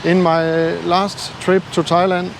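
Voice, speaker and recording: Older male travel vlogger, non-native English accent, slightly high voice, unhurried halting delivery, casual and modest, recorded outside. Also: level tone. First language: not English. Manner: halting, self-deprecating. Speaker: travel YouTuber. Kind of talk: casual vlog talk to camera. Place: outdoors. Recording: noisy outdoor street ambience with traffic.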